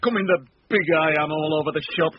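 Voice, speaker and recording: An actor playing a blunt, bawdy, envious small-town councillor with a Northern English accent in a British radio drama. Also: male voice, on the high side, fast talker, no room sound, natural voice. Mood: indignant, envious. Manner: blunt, earthy, grumbling. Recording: dull, noisy lo-fi radio recording.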